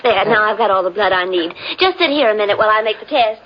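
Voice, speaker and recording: An actress playing an emotional, trusting young woman in an old-time radio drama, with a breathy, hesitant delivery. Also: mid-range voice, expressive, fast, lightly acted. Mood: gentle. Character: anxious young woman, trusting medical student grieving her missing father. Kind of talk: 1940s radio drama acting. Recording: muffled vintage radio recording.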